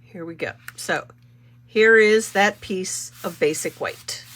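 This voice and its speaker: Slow-talking woman with a stuffy cold. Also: mid-range voice, fairly bright tone. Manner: chatty, rambling, self-correcting. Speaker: card-making channel host. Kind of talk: casual live crafting demo.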